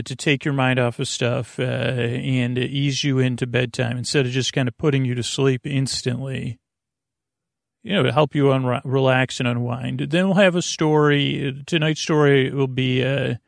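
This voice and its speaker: A man hosting a sleep podcast, rambling off-topic in soothing, creaky tones with many restarts. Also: mid-range voice, no echo, studio quality. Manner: meandering, off-topic, self-interrupting. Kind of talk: rambling bedtime podcast intro.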